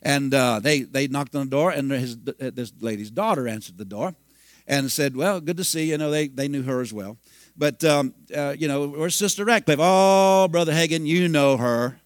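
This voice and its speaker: Pastor, male, 50s, fairly high voice, storytelling sermon with shouted bursts, dry recording. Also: moderate pace, dry recording.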